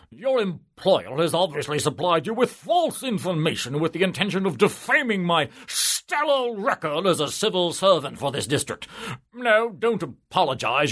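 A man voicing the soul of a pompous, corrupt male civil servant, talking in a haughty, grandiose, indignant way with a husky voice. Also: high voice, fairly bright tone, voice pushed up, exaggerated voice.

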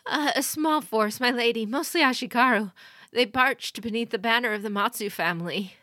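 A woman voicing an exhausted young woman warrior, hoarse and out of breath. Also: a little high, moderate pace, pushed and bright, no echo, clean studio sound, pitched above the performer's own voice. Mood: breathless, distraught.

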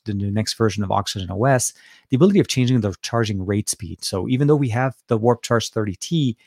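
A man's voice: fairly low pitch, darkish tone, no echo. A man from Lebanon, hosting a casual live stream Q&A and answering viewers with many fillers and restarts, speaking close to a studio mic in an office. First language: Arabic. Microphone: close dynamic studio mic.

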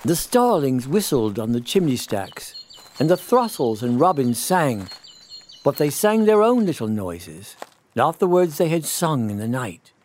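Man voicing an animated English storybook narrator. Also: mid-range voice. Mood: hushed wonder. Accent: British, put on. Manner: theatrical, savouring, drawn-out emphasis. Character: old-fashioned English storybook narrator, gentle and full of wonder. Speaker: storyteller reading a children's tale. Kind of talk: expressive classic storybook narration.

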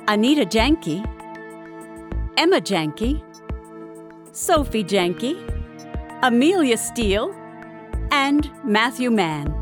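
A woman narrating a scripted audio drama like a storybook, with dramatic, deliberate delivery. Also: mid-range voice. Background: background music.

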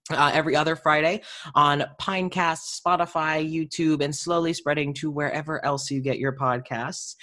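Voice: medium pitch, fairly even intonation, moderate pace, sharp tone. A podcast host with a chatty, informal way of talking, speaking casually to guest and listeners. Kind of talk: casual podcast hosting and sign-off.

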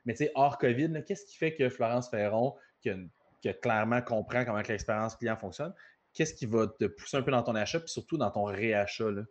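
A man's voice: medium pitch, brisk, muffled recording. A man with a Quebec French accent hosting a casual business podcast, telling rambling stories. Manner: talkative, rambling anecdotes. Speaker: podcast host.

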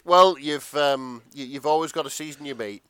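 A man's voice: fairly high pitch, normal speed, pushed and bright, smooth. A man co-hosting a comedy podcast, chatting casually with a dry, joking manner. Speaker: podcast co-host. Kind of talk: casual comic banter.

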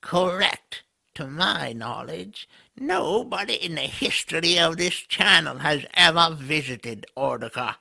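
Wise old bird, real creaky voice, dry deadpan, few words, audiodrama style. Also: male voice, a little high, measured pace, heavily acted. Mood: solemn. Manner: terse, deadpan. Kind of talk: audiodrama character acting.

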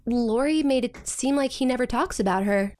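An actress playing an outspoken, sarcastic, hot-tempered young sister in a modern audio drama, with a blunt, dramatic, slangy delivery. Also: female voice, fairly high pitch, moderate pace, darkish tone, natural voice. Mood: casual, curious.

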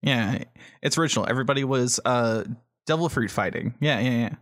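A man's voice: mid-range voice, a little fast, fairly bright tone, no echo, clean studio sound. A man co-hosting a podcast in a casual, jokey, conversational way. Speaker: podcast co-host.

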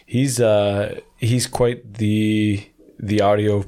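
A man's voice: lowish voice, level tone, extremely slow, warm tone. A man hosting a podcast in casual conversation, with slangy, laid-back phrasing. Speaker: podcast host. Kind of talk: casual chat.

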